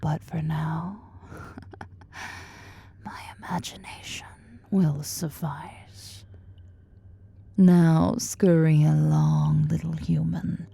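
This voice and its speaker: A woman plays an imperious spider-woman of fantasy audio roleplay, very dark and velvety in tone. She speaks low and unhurried, with a husky breath, teasing as she gives commands. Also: full character voice. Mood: sultry, tender. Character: powerful, imperious, flirtatious female drider, half-spider monster. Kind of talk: ASMR fantasy roleplay acting.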